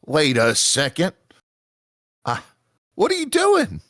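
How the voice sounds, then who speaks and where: A man's voice: on the high side, wildly swooping pitch, creaky, a bit bright, dry recording, studio quality, full character voice. A man playing a confused, indignant tourist who stammers hesitantly, in a script read-through. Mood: confused, defensive. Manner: halting, stammering.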